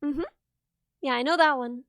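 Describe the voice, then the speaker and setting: A woman's voice: high-pitched, normal speed, fairly bright tone, dry recording, studio quality. A young woman hosting a live soldering stream, bubbly and laughing often as she explains her work step by step.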